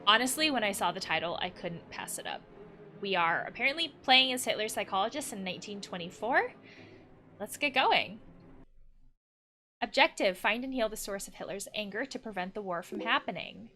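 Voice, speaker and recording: A woman's voice: mid-range voice, lively intonation, normal speed, bright, forceful tone, a little hiss or hum. A woman giving casual let's play commentary as a therapist, thinking aloud in a reflective way. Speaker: therapist playing and commenting on a video game.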